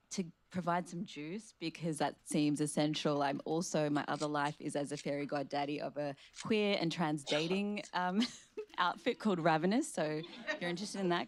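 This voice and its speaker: Woman, australian accent, low voice, dry close sound, casual panel talk with ums. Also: no room sound. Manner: casual, halting with many ums.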